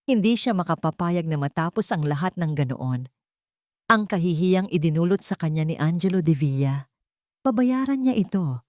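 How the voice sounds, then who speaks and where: A woman narrates a dramatic love story in a fairly low voice. The delivery is scripted storytelling, recorded dry with very little room sound. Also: expressive, moderate pace, no echo.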